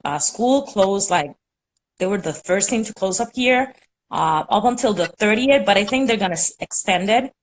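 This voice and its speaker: A woman's voice: mid-range voice, lively intonation, moderate pace, slight background noise, poor audio. Young Puerto Rican woman chatting on a call. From Puerto Rico. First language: Spanish. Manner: rambling, many fillers. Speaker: caller on a live stream. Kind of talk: casual chat.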